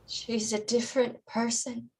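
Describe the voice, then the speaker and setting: A woman's voice: fairly high pitch, level tone, unhurried, vocal fry, darkish tone, slightly rough, full character voice, rough recording. A woman acting a careful, caring mother in a staged play reading. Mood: subdued.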